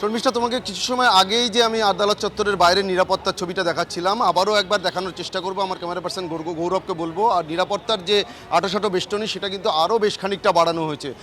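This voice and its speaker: A man's voice: high voice, fairly even intonation, rapid-fire, bright, forceful tone, soft background music. A man reporting live news outdoors with emphatic, repetitive phrasing. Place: outdoors outside a city courthouse.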